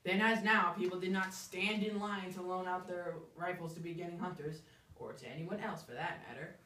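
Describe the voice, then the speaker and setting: A storyteller delivers comic first-person narration with theatrical emphasis, at a middling pitch. The voice sits a bit back from the microphone in a roomy, echoing space. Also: fairly even intonation, normal speed, sharp tone. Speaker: storyteller reciting a humorous story. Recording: echoey room, distant microphone.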